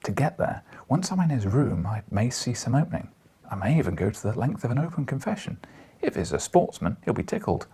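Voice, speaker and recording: A man's voice: medium pitch, big pitch swings, fairly quick, mellow, slightly rough, heavily acted. A man voicing an eager, polite young newspaper reporter in an audiobook, earnest and a little hesitant. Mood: triumphant. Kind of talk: audiobook character dialogue.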